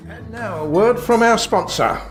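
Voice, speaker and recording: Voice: mid-range voice, animated, moderate pace, music in the background, lightly acted. A performer playing a mock radio announcer, narrating and reading parody sponsor messages in a comedy play. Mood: promotional.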